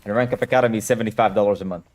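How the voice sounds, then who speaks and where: A man's voice: on the low side, steady tone, racing, darkish tone. A man, a native Hebrew speaker, chatting casually as a game coach on a live stream and answering questions in a direct way.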